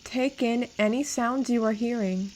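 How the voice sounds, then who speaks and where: A woman leads a guided meditation at a very slow pace. Her voice sits a little high, and she gives each instruction in a calm, measured manner. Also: a little hiss or hum.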